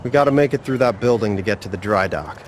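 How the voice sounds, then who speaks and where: A man playing a rattled but loyal cab driver in a video game, excitable and colloquial, with plenty of swearing. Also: lowish voice, quick pace, natural voice. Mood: loyal, uneasy. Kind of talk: naturalistic video game acting. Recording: clearly noisy, vehicle and ambient sound.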